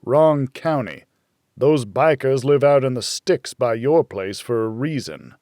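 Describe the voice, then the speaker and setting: A man's voice: medium pitch, a little slow, a little mellow, no room sound, clean studio sound, close to an everyday voice. A man voicing a blunt, needling, worried older friend in a noir audiobook. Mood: exasperated.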